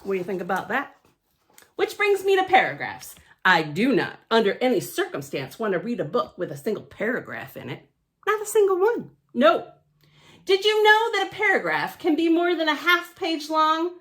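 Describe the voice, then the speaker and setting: A woman reading a picture book aloud to children, theatrically emphatic and playfully grumpy, with chatty deadpan asides. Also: high voice. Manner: theatrical, emphatic, with pauses and repeated words for effect. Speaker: library storytime reader.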